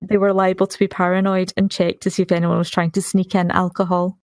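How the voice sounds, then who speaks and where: A woman's voice: lowish voice, level tone, fast talker, dry recording, clean studio sound. A woman playing a tabletop game, casually chatting and describing what her character does.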